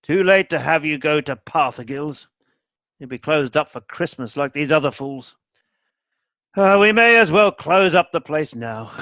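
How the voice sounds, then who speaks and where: A man's voice: a little high, expressive, measured pace, fairly bright tone, no room sound, lo-fi sound. A man playing a cold, grumpy elderly miser in a radio play, curt and scornful, barking orders. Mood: barking, impatient.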